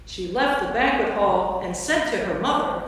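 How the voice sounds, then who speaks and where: A woman's voice: mid-range voice, animated, normal speed, fairly bright tone. A woman giving a scripted dramatic narration of scripture as part of a staged reading. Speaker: narrator, priest. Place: large indoor church. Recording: echoey church hall from far off.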